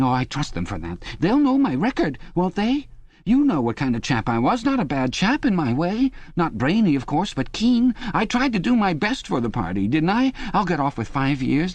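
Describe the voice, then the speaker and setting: Male reader voicing a scared, fawning middle-aged man, high and pleading, dry recording. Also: big pitch swings, normal speed, a little mellow, no room sound. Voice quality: high, pleading, nearly blubbering. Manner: fawning, jittery, self-justifying. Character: frightened pudgy middle-aged man, servile and eager.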